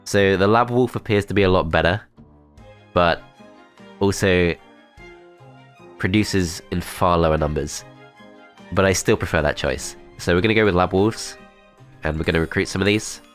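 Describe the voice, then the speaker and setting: A man with a cold and a blocked-up, nasal voice, calmly talking through his moves in a strategy game. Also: sits low, normal speed, pauses often, soft background music. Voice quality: nasal, congested. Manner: calm, methodical, thinking aloud. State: has a cold, blocked-up nose. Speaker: gameplay commentator. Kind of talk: strategy game let's play commentary.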